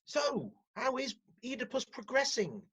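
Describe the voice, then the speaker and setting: A woman playing the firm, officious head of a counselling team in a radio comedy, with a husky, authoritative voice. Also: high voice, sing-song, measured pace, a bit bright, dull audio, heavily acted. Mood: businesslike. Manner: authoritative, managerial. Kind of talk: radio play acting.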